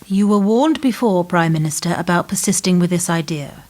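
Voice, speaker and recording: A woman acting in a radio play as a cool, controlled government minder who speaks in a measured, formal, insinuating way. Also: lowish voice, animated, a little mellow, lightly acted. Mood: cold menace.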